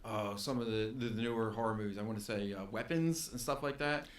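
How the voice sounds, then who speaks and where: A man's voice: fairly low pitch, fairly quick, echoey room. A man co-hosting a podcast, chatting casually about pop culture in an emphatic, slangy, opinionated way. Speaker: podcast co-host.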